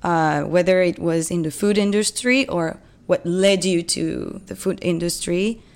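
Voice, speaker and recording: A woman interviewing two company founders, asking long questions in a gentle, hesitant way. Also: fairly low pitch, a little slow.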